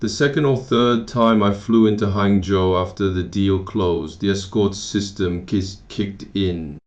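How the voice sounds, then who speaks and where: A man from Taiwan narrating his own memoir in a measured, matter-of-fact way, with occasional restarts. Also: fairly low pitch, fairly even intonation. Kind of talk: first-person memoir narration.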